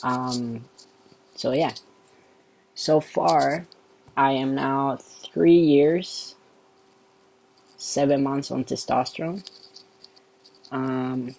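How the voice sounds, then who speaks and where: A man with a soft, androgynous-sounding voice, talking through a casual update vlog in a relaxed, unhurried way. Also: medium pitch, creaky, a little hiss or hum, rough recording. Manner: relaxed and unhurried, with frequent ums. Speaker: vlogger.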